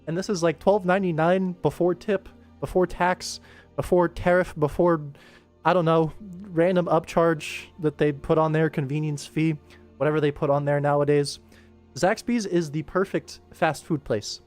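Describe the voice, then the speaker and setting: A young American man with a fairly high voice chats off the cuff to a livestream. He is emphatic and enthusiastic, wandering into tangents as he goes. Music plays behind him. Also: fairly even intonation, moderate pace, darkish tone. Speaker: livestream host. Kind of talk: casual livestream rant.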